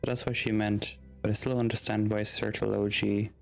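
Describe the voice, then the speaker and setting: A man's voice: on the low side, level tone, moderate pace, creaky, faint music behind. A man streaming a video game, reading dialogue aloud and reacting to it.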